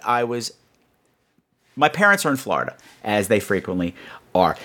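A man's voice: medium pitch, fairly bright tone. A man hosting a podcast with a campy, theatrical, chatty comic delivery. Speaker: podcast host.

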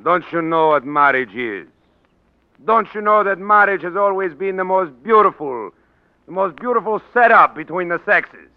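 An actor playing a gruff, impatient crooked political boss in a 1940s radio drama, blunt and ungrammatical. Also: male voice, high-pitched, lively intonation, moderate pace, dry recording. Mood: exasperated. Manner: blunt, exasperated, rough ungrammatical street talk. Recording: dull lo-fi vintage radio broadcast.

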